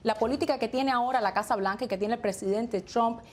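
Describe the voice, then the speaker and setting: A woman's voice: fairly high pitch, fast. A woman from Cuba, a guest in a studio news debate, speaking firmly and emphatically. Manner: firm, emphatic, insistent. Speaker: party media director, panel guest.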